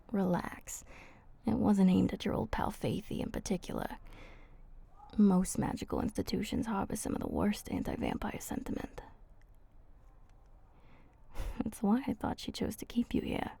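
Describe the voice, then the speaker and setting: A woman voicing a flirty, teasing female vampire in an ASMR roleplay, soft and husky, speaking intimately and haltingly. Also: mid-range voice, sing-song, full character voice.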